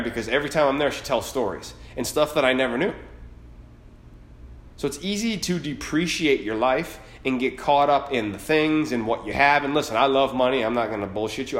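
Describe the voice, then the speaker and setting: A man's voice: medium pitch, fairly bright tone. A man in his late thirties hosting a podcast, giving a blunt, fired-up motivational monologue full of swearing. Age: 30s. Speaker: podcast host. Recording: roomy echo.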